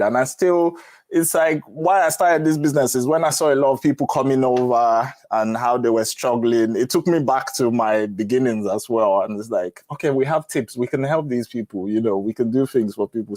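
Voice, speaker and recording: A man's voice: medium pitch, darkish tone, dry recording. A middle-aged man with a Nigerian accent, chatting frankly and casually as he hosts a live advice session and tells stories. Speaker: host of a live Q&A on moving to the UK.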